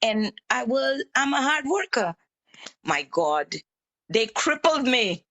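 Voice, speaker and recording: A woman's voice: high voice, big pitch swings, slow pace, a bit bright, no echo, lo-fi sound. A woman from Honduras with a Caribbean accent, earnestly telling her own stories as a guest in a discussion. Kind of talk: casual discussion.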